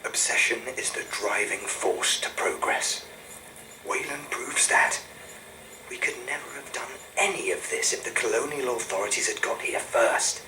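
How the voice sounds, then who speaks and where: A man playing a cold, zealous company loyalist in a game audio log, speaking in a hushed, deliberate way. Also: mid-range voice, echoey room, background noise, close to an everyday voice. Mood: cold conviction.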